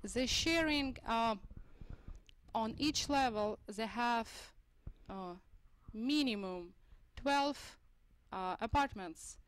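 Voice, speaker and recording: A woman from Moldova with a Russian accent and Russian as her first language, speaking haltingly as an interview guest. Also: fairly high pitch, clean tone. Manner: halting, searching for words.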